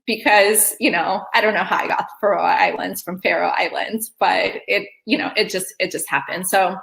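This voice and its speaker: A woman from Kosovo, Albanian her first language, hosts a video call in a bright, forward voice. She talks chattily, full of ums and restarts. The sound bounces around an echoey room. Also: medium pitch, steady tone, normal speed, creaky. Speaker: event host. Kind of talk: casual online meeting chat.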